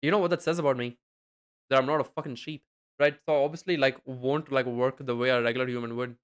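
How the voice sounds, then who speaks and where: A young man talks to his stream chat in a loose, rambling way, leaning on fillers and tossing in swear words. His voice is smooth and clear, at a middle pitch. He sounds like a casual streamer speaking into a desk mic at home. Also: steady tone, fast. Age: young adult.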